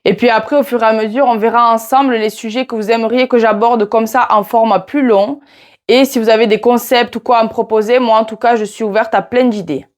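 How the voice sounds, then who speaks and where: Young woman, southern French accent, casual vlogger, slightly high voice, talks straight to the viewer. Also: steady tone, brisk. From France. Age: 20s. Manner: chatty, addresses viewer directly with "tu vois". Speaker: YouTuber teaching Portuguese. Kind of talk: casual vlog talking to camera.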